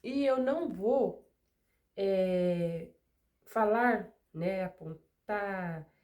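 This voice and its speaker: A middle-aged Brazilian woman with a mellow, warm voice, still getting over a cold, talks casually to camera from her craft desk. She wanders into chatty asides and rhetorical questions as she works. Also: medium pitch, extremely slow. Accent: Brazilian Portuguese. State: recovering from a cold. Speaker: craft studio owner and channel host. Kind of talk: casual chat to camera while working.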